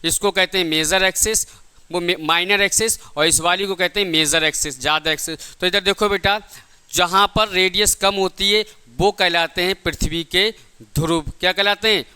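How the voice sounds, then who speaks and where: Bright, high-voiced male teacher. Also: steady tone, moderate pace, clear, resonant tone. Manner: didactic, repeats points with rhetorical questions. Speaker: physics teacher for exam coaching. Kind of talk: classroom lecture.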